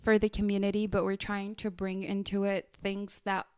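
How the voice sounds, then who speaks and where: A woman's voice: medium pitch, monotone, moderate pace, a little mellow, clear, resonant tone, no room sound, studio quality. A young woman from Syria and the Czech Republic co-hosting a radio show in a casual, conversational way.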